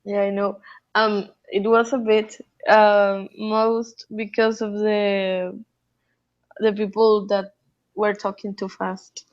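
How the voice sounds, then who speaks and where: Female student, slow, flat intonation, hesitant casual replies over muffled call audio. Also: mid-range voice. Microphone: online call microphone. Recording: dull lo-fi online call audio.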